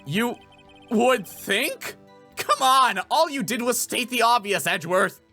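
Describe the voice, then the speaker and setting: A man performs an earnest young defense attorney in a video game, exasperated and challenging. The voice rides high and swings widely, with music behind it. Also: very animated, takes it slowly, bright, forceful tone, exaggerated voice. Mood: accusing, emphatic.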